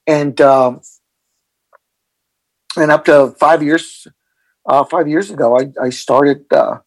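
A man's voice: fairly high pitch, takes it slowly, dry recording, rough recording. A middle-aged man from El Paso, Texas, a mindfulness coach, answering interview questions with many fillers and restarts. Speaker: guest, mindfulness coach for athletes.

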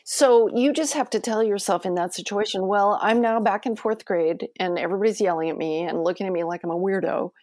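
A middle-aged American woman, a cookbook author and cooking teacher, telling lively self-deprecating stories in a soft voice during an interview. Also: mid-range voice, brisk, few pauses, no echo, studio quality. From United States. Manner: animated storytelling, self-deprecating. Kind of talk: conversational interview.

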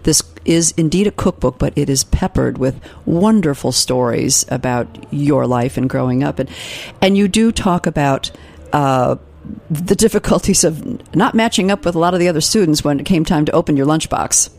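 A woman's voice: sits low, normal speed, a little mellow. A woman hosting a radio interview, asking questions in a casual way with some fillers. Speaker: radio host.

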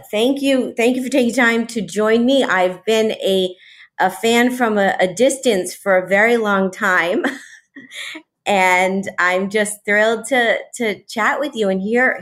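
A woman's voice: medium pitch, a bit bright. A woman hosting an interview podcast, reading introductions and talking with her guest. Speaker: podcast host.

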